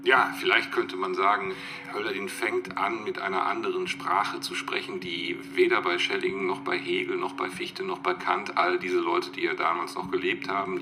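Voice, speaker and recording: A man talking reflectively off the cuff, halting and searching for his words. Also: low voice. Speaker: interviewed commentator. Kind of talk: spontaneous reflective talk. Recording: clear humming background noise.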